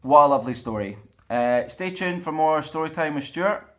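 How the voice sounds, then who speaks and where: A man hosting a storytime and reading aloud for children in an expressive storyteller's style. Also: fairly high pitch, moderate pace. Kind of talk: casual intro and slow expressive storybook narration.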